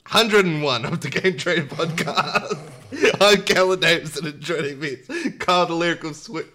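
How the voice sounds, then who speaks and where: A man's voice: a little high, normal speed, sharp tone. A man with an Australian accent hosting a gaming podcast in a casual, informal, jokey way. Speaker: podcast host. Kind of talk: casual gaming podcast chat and news rundown.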